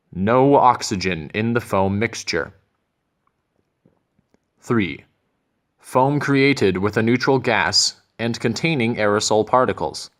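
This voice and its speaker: A man reading scripted narration for a corporate training film in a measured, methodical way. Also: on the low side, a bit bright.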